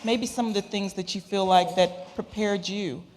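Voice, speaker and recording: A woman reporter moderating a panel, asking her first question in a friendly, measured way. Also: medium pitch, fairly even intonation, darkish tone. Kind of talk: opening a panel interview. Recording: hall reverb with faint noise.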